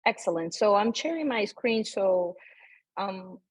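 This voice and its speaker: A woman from Peru with a Peruvian accent, presenting as an academic researcher in an unscripted, halting way over a remote video call. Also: medium pitch, lively intonation, breathy edge, no room sound. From Lima, Peru. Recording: dull lo-fi video call audio.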